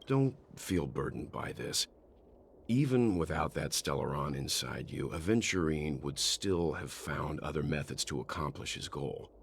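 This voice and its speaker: A reserved, grave adventurer from a video game. His voice is extremely low with a gravelly edge. He talks in measured, serious, reassuring lines over faint noisy ambience. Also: fairly bright tone, full character voice. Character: calm, grave, reserved young male adventurer companion.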